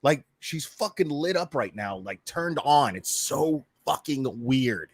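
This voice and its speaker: A man's voice: a little high, expressive, a little slow, husky, no room sound. A man giving casual, sweary reaction commentary on his own prank, repeating phrases for emphasis. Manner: profane, informal, emphatic repetition.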